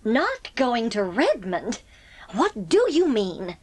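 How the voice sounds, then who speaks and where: A woman's voice: a little high, wildly swooping pitch, takes it slowly, a little mellow, exaggerated voice. A woman playing an older, stern but loving farm spinster in an audiobook drama, plain-spoken and heartfelt. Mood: moved.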